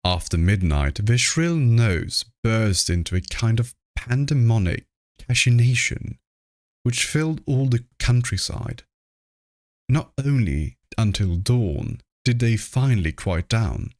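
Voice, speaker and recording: A man reading classic horror fiction aloud as scripted narration, in a measured, deliberate manner, close to the microphone. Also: medium pitch, animated, a little mellow, no room sound. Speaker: audiobook reader.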